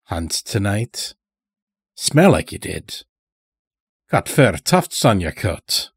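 A man voicing a stout, sly old farmer in an audiobook story, with a folksy drawl and unhurried, dragged-out words. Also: on the low side, big pitch swings, gravelly, husky, no room sound, studio quality, heavily acted. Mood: amused, sly.

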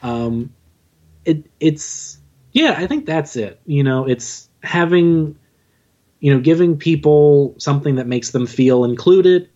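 A man being interviewed as a podcast guest, chatting casually in a halting, self-deprecating way. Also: a little high, mellow. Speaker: podcast guest, audio drama writer and narrator. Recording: dull, lo-fi audio.

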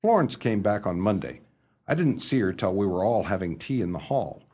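An actor playing a flustered upper-class young English gentleman in a radio play, hesitant and bumbling, narrating his own scrapes in old-fashioned slang. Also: on the low side, big pitch swings, normal speed, slightly rough. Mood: wry, exasperated. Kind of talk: radio play storytelling and dialogue.

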